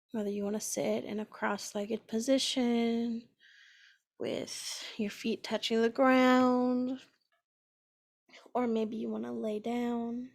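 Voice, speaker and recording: A woman guides a meditation at an extremely slow pace. Her voice sits a little high and often crackles into fry. She is gentle and soothing, leaving long pauses between phrases.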